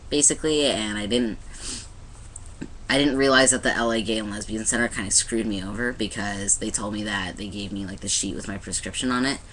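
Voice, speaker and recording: A man's voice: lowish voice, pushed and bright, slight background noise, rough recording. A young man talking casually to his camera in a personal video diary, rambling with many restarts and fillers. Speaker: vlogger. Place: indoors in front of a light.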